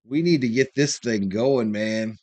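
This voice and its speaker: A man's voice: mid-range voice, measured pace, darkish tone. A man hosting a casual live sports stream, calling plays as a fan, informally saying y'all and swearing. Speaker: live stream host doing fan play-by-play commentary.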